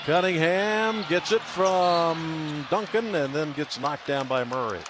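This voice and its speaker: Guy doing radio basketball play by play, kinda high voice, emphatic calls, loud arena noise behind him. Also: slow. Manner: emphatic, calling out scores and plays. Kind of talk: live radio play-by-play commentary. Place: large indoor basketball arena. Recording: noisy arena broadcast. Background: loud arena noise, bouncing basketball.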